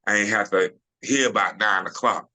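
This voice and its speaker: A middle-aged comedian with a deep, low-set voice chats over a video call. His tone is forceful and bright, and he talks in a loose, storytelling way. He often pauses with "you know" and restarts his thoughts. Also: steady tone. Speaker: comedian guest. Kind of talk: casual interview chat.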